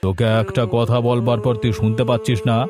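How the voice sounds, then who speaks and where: A man's voice: medium pitch, fairly even intonation, fast talker, music in the background, natural voice. Man playing a harsh, suspicious village headman. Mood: threatening. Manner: dismissive, threatening. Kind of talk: Bengali fairy-tale cartoon dubbing.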